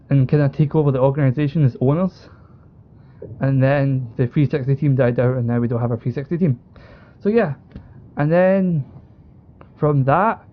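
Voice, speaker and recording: A young British man telling a story casually as an esports co-owner, with informal, enthusiastic, repetitive wording over an online call. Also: a little high. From United Kingdom. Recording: dull lo-fi voice call.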